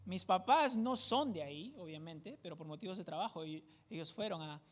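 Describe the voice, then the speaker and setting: A man's voice: sits high, animated, normal speed, long reverb tail. A man from Peru with a Peruvian jungle accent, teaching a verse-by-verse Bible study in a conversational way and often checking in with his listeners. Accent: Peruvian jungle (selva) Spanish. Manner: conversational, keeps checking in with tag questions.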